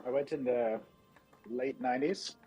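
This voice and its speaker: A man's voice: medium pitch, a little slow, soft background music. A man hosting a livestream, chatting casually and telling rambling stories from home, with a slight American accent. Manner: relaxed, rambling storytelling. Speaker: livestream host. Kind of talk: casual chat. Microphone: computer microphone.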